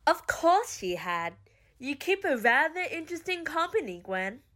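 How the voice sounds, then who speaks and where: A woman's voice: sits high, sing-song, unhurried, fairly bright tone, heavily acted. A woman playing a smug, sly betrayer in an audio drama, teasing and flippant.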